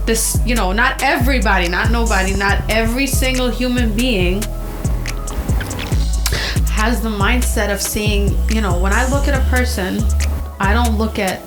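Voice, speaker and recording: A woman from Egypt talking in a casual podcast chat, passionate and rambling, leaning on "like" and "right?". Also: mid-range voice. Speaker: guest.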